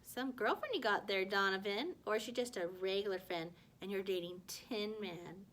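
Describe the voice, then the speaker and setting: A woman's voice: medium pitch, normal speed, a bit bright, close to an everyday voice. A woman voicing a smirking middle-school boy in a children's novel, mocking and teasing his friend.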